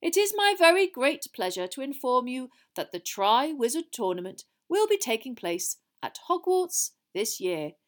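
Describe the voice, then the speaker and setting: A grand old male wizard headmaster, played by a woman reading a storybook, lifts his voice above normal talk to address a hall of students. He is genial and ceremonious, bright and projecting, as if giving a speech. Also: high voice, big pitch swings, moderate pace, few pauses, pitched above the performer's own voice. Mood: proud announcing.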